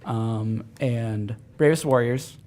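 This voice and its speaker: Man with a medium-pitched voice, chatting casually. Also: slow pace, darkish tone. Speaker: podcast panelist. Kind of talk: casual group chat.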